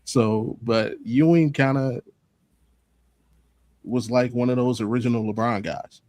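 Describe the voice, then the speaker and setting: A man's voice: mid-range voice, fairly even intonation, unhurried, a little mellow, no room sound. A man on a sports talk panel, casually reasoning through his opinions off the cuff in an analytical way.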